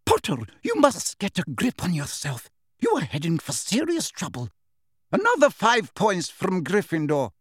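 A man voicing a strict older female teacher who scolds in sharp, clipped words. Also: high voice, huge pitch swings, moderate pace, slightly rough, no room sound, clean studio sound, voice pushed up, exaggerated voice. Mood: stern scolding.

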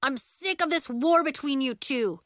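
A woman's voice: fairly high pitch, a little slow, bright, forceful tone, no room sound, studio quality. A woman voicing an exasperated, bossy schoolboy who gives firm commands, in an audiobook children's story. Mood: exasperated yelling. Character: exasperated, bossy young schoolboy taking charge.

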